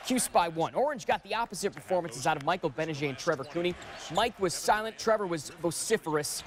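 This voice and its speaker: A man's voice: a little high, lively intonation, brisk. A man narrating sports stories as a broadcast reporter, with punchy, dramatic phrasing.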